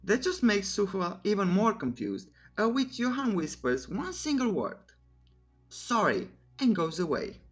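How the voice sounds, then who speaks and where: Story recap narrator, very lively intonation, informal wry delivery, playful asides, music playing underneath. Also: medium pitch, a little slow. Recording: clear music bed under voice. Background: background music.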